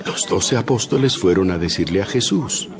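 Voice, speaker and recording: A man narrating a dramatized audio Bible from a script, with expressive storytelling emphasis. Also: sits low, normal speed, crowd noise. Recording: loud background music. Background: music.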